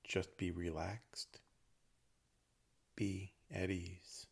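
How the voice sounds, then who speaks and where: A low-voiced man guides a meditation in gentle, short phrases. His voice is husky and creaky, and he leaves long pauses between thoughts. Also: flat delivery. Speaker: meditation teacher.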